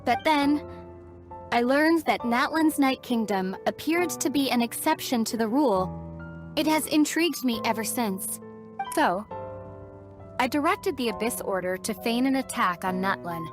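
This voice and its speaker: Lady voicing a guilt-burdened young princess for a game, highish voice, formal and resolute, music underneath. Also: lightly acted. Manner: earnest, formal storytelling delivery. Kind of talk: dramatic video game dialogue. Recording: studio voice over background music.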